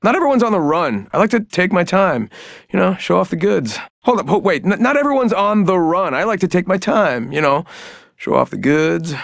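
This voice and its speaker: Cocky game trickster, high voice swooping all over, stammery and teasing, super dry recording. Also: male voice, very animated, normal speed, vocal fry, no echo, heavily acted. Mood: playful boasting. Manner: flippant, stammering, theatrical teasing. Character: cocky, joking young male trickster fighter. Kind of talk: video game character voice lines.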